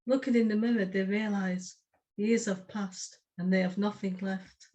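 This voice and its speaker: A woman's voice: mid-range voice, fairly even intonation, normal speed, dark tone. Woman, mid-range voice, poet and podcast co-host, spoken verse, casual chat. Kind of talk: poetry reading and casual chat.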